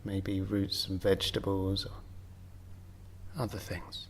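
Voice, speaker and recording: Guy doing yoga nidra guide, lowish voice, super slow and gentle, lots of pauses. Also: completely flat delivery, slight background noise. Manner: gentle, unhurried, with long pauses between short phrases. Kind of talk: guided meditation, slow spoken relaxation instruction.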